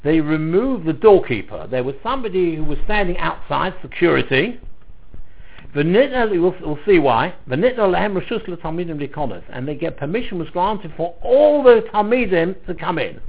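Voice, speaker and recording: Animated high-voiced man teaching Talmud. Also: creaky, slightly rough, phone-quality sound. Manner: animated explaining, repeats phrases and stumbles over words. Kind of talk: line-by-line religious lesson, reading and translating.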